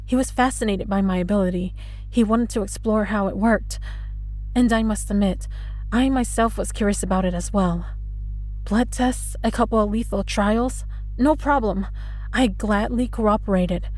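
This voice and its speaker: Female actor for vengeful immortal lab prisoner in audio drama: muted brightness, husky edge, sardonic menace, music underneath. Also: medium pitch, close to an everyday voice. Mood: bitter, composed. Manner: taunting, sardonic, deliberate, addresses listener with mock endearments. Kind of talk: dramatic audio roleplay monologue.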